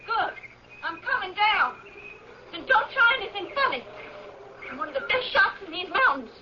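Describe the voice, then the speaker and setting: A woman's voice: very high-pitched, sing-song, moderate pace. A woman playing a tough, suspicious young mountain girl in a radio drama, curt and commanding with folksy rural grammar. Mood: threatening. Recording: muffled, noisy old radio broadcast.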